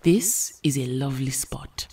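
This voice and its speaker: An actress playing a serene, noble weather-goddess superheroine in an audio drama, husky and formal in her measured wording. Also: very deep voice, mellow, recorded from a distance, a little hiss or hum. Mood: gentle.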